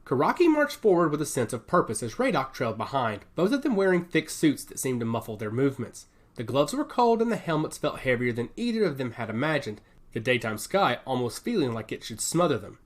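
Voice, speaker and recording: A man reading a scripted dramatic story as narrator, in an expressive, descriptive way. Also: mid-range voice, a little fast. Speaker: audio fiction narrator.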